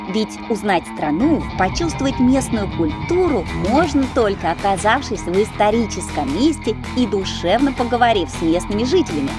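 Expressive woman narrating over music. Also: medium pitch. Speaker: channel host and narrator. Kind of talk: scripted travel narration.

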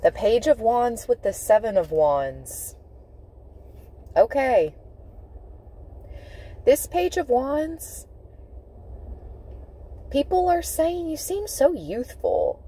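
A woman giving an intuitive tarot reading, speaking in deliberate, halting phrases with emphatic repetition. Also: fairly high pitch, big pitch swings, warm tone, breathy edge, poor audio. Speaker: tarot reader. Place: inside a parked car.

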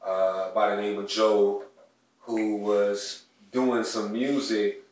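A man's voice: lowish voice, level tone, slow, a little mellow, distant mic. Earnest young man in an echoey living room. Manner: earnest, heartfelt, speaks of his soul and ancestors. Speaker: podcast co-host. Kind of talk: casual conversational storytelling. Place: home living room, indoors. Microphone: distant mic on a stand, wide camera. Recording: echoey room audio picked up from afar.

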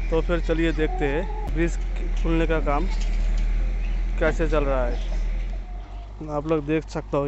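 A man's voice: on the high side, highs cut off, noisy background. Young man narrating a site walkthrough. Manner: plain explanatory, addresses viewers directly. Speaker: construction vlogger. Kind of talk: walking site tour narration. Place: outdoors at a rural bridge construction site. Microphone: phone held at arm's length.

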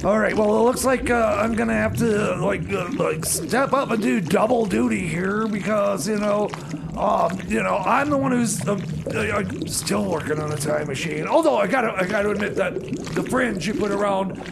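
A man playing an exasperated, halting sidekick and music host in comedy radio theater. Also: high-pitched, vocal fry, heavy background noise. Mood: resigned, rambling. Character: exasperated, hesitant male time-machine mechanic's partner.

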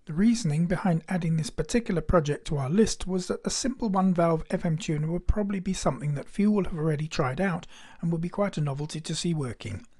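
Guy reading out a narration for a how-to demo, voice a bit on the high side. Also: fast talker, hardly any pauses, warm tone, slightly rough. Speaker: narrator and electronics hobbyist. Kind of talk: scripted narration of a radio-building demonstration.

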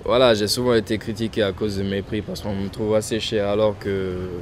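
A young man from Guinea, a painter, answering interview questions in a casual, hesitant way with many restarts and softly trailing phrases. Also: on the low side, little pitch movement, a little fast. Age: young adult. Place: outdoors in front of a painted backdrop, street traffic nearby. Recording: outdoor street noise behind voice.

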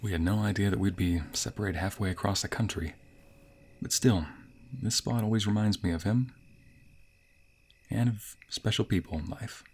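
A man acting a sweet, bashful young waiter on a romantic date in an ASMR roleplay, with a soft, breathy, playful and hesitant delivery. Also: on the low side, moderate pace. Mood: warm, bashful. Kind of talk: ASMR roleplay, intimate scripted boyfriend monologue.

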